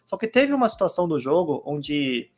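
A man chatting calmly on a podcast, explaining card game rules with many fillers. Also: fairly high pitch, moderate pace. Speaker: card game player guest. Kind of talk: casual podcast chat.

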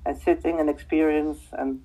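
Belgian woman in her 50s, dutch accent, native dutch speaker, kinda low voice, chatting as an interview guest, repeats words a bit. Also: level tone, moderate pace, mellow, low-quality recording. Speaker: guest, spiritual medium. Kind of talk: conversational interview answers.

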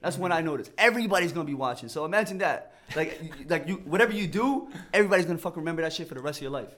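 Fast-talking young man, stammering. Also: fairly high pitch, lively intonation, fairly bright tone. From New York. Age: 20s. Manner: fast, rambling, stammering restarts. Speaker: guest. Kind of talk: casual podcast chat.

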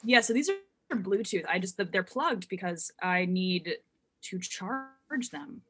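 A man's voice: high-pitched, lively intonation, slow pace. A young man whose first language is German, a guest comedian chatting casually and rambling playfully on a video call through earbuds. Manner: rambling, self-interrupting, playful. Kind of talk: casual podcast chat.

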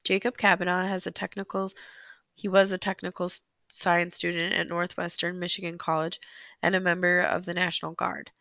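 A sick, hoarse woman hosting a solo true-crime podcast, chatty and apologetic, filling pauses with ums. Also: on the low side, flat delivery, sharp tone, no room sound. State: sick, hoarse, losing her voice. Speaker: podcast host. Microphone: close podcast microphone.

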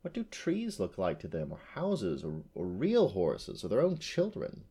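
A man's voice: on the low side, big pitch swings, moderate pace, warm tone. A man voicing a proud, ancient female unicorn from a storybook, haughty and formal in manner. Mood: puzzled wonder.

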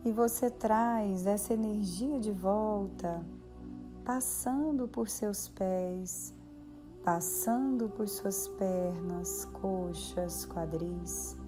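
A Brazilian woman leading a guided meditation in a soothing, measured way with long pauses. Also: mid-range voice. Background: background music.

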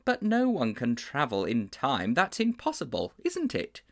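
A man's voice: mid-range voice, huge pitch swings, measured pace, pitched above the performer's own voice. A man voicing a cheeky, plain-spoken schoolboy with a wry manner.

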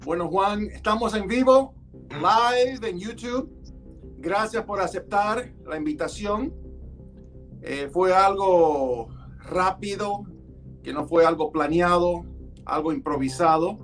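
A man hosting a live online show, introducing his guest in a slow, emphatic way with pauses. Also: high-pitched, a bit bright, soft background music. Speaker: show host. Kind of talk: live show host talk.

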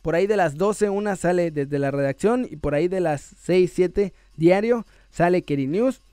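A Mexican man with a high voice hosts an online sports show in a casual, chatty style. He is playful and joking, drawing out words for emphasis. The sound is dry and close. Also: moderate pace, warm tone, smooth, no echo. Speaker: host of a sports news video channel. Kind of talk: casual chat to viewers, reading out messages and shout-outs.

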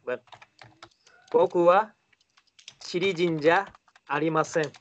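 A man's voice: a little high, takes it slowly, slight background noise. Hesitant man speaking Spanish. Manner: hesitant, answers with fillers and repeats phrases. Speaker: native-speaker guest in class.

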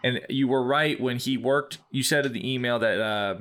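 A man hosting a casual music podcast, asking questions haltingly with many fillers and restarts. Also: mid-range voice, fairly bright tone. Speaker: podcast host. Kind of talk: casual interview chat.

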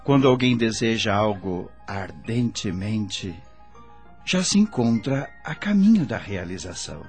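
Slow, expressive spirit mentor. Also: male voice, lowish voice, faint music behind. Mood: reassuring. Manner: didactic, formal, measured diction. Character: patient, kindly male spirit guide and mentor. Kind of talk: radio drama acting.

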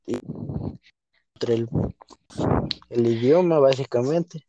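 A man's voice: mid-range voice, slow pace, dull audio, noisy background. A young man, co-hosting a podcast in a rambling, casual way and leaning on the same filler word. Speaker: podcast co-host. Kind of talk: casual chat.

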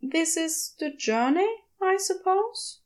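A woman plays a gentle angel in the body of a giant Tyrannosaurus for a storybook. He is fussy, polite and hesitant, in a raised, high voice. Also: animated, slow pace, heavily acted. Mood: uncertain. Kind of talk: storybook character voice in audio fiction.

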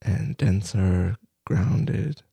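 Male meditation guide: dark, relaxed, breathy delivery in halting phrases, soft-spoken. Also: sits low, dry recording. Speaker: meditation teacher.

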